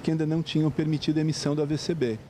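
A male official answers an interview outdoors in an explanatory way. He talks very fast in a dark, mellow voice. Faint street noise sits behind him. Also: on the high side, little pitch movement. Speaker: state culture official.

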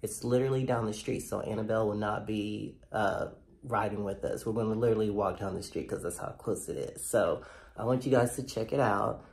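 A man's voice: fairly low pitch, fairly quick, gravelly, a little mellow, slightly rough. A man in his thirties, a little nasal from a cold, chatting enthusiastically to viewers in a selfie-style vlog. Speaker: vlogger. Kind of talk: casual vlog talk to camera. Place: bedroom indoors, later outdoors on a sidewalk. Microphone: phone at arm's length.

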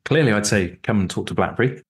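A man's voice: fairly low pitch, lively intonation, fast talker, husky. A man, a cybersecurity executive, speaking as a guest in an interview, emphatic and repetitive, with many fillers and restarts. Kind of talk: sponsored interview.

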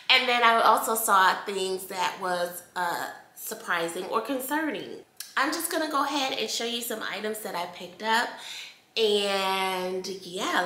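An American woman in her thirties talks to camera in a casual, conversational vlog style. Her voice is bright and takes its time, with emphatic points along the way. It is recorded indoors with noticeable room echo. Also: mid-range voice. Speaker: home decor vlogger. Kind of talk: casual haul chat to viewers. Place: bright indoor room at home, seated at table. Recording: echoey room.

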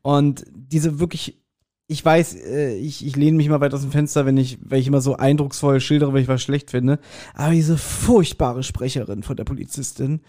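A man's voice: medium pitch, normal speed, mellow. A man with a German accent chatting casually as a podcast co-host. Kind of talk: casual chat reviewing an audio drama.

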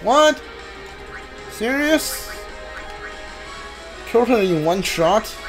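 A man gives casual commentary while gaming. He goes slowly in short, muttered phrases, yet his pitch moves around a lot. Game music plays clearly behind him. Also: sing-song. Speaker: video game streamer.

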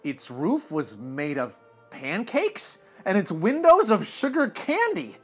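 A young man reading a storybook aloud to children in an animated, expressive way, recorded in a living room. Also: high-pitched, slow, slightly rough, soft background music. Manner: animated and expressive, dramatic swings in tone. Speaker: read-aloud host. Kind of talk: storybook read-aloud narration. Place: indoor living room with sofa.

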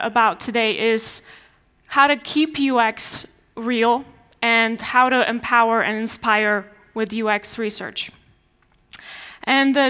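A young woman with a Polish accent addresses a conference audience from a large dark stage. Her voice sits a little high, and she talks in a loose, conversational way, full of fillers and restarts. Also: smooth. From Poland. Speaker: conference speaker. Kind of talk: conference talk.

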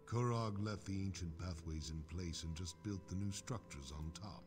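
A man's voice: low voice, normal speed, over music, natural voice. A man playing a grim, determined warrior in a video game, speaking with earnest intensity. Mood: grim, resolute. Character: grim, determined male warrior ally defying a king.

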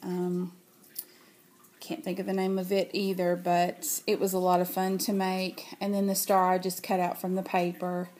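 A woman's voice: on the low side, completely flat delivery, normal speed, darkish tone, slight background noise. A woman with a cold and a nasal voice, chatting casually as she shows handmade cards at home, hesitating often with ums. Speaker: craft vlogger. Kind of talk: casual show-and-tell. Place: home, at a table.

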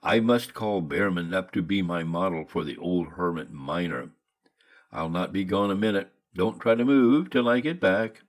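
A man voicing a caring young woman artist who worries for her sick friend, in a plain, gentle voice. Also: deep voice, moderate pace, lightly acted.

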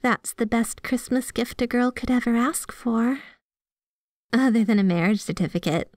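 A woman's voice: fairly high pitch, normal speed, darkish tone, no echo, studio quality, close to an everyday voice. A woman playing a sweet, devoted, jealous yandere girlfriend in an ASMR roleplay, speaking with affectionate, playfully possessive manner. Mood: fondly nostalgic. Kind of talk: ASMR roleplay, scripted one-sided conversation.

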